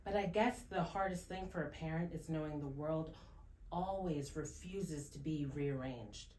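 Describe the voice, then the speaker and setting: A woman's voice: low voice, measured pace, a little mellow. A woman speaking reflectively in an interview, in an echoey room with faint noise.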